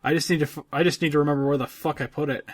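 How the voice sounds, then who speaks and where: A man's voice: fairly high pitch, steady tone, very fast. A man co-hosting a podcast, chatting casually and informally about games, with some swearing. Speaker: podcast co-host. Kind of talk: casual gaming chat.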